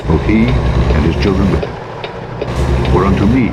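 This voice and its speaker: A man reading scripture as a narrator, in a solemn, measured, declamatory and formal way. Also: sits low, lively intonation. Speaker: Bible narrator. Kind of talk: solemn scripture reading from the King James Bible. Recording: loud vehicle engine noise over audiobook playback. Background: truck simulator engine and road noise.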